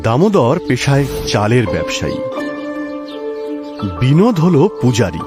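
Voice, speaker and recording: A male narrator tells a scripted ghost story dramatically. His pitch is average but moves a lot, and music plays behind him. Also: huge pitch swings, measured pace.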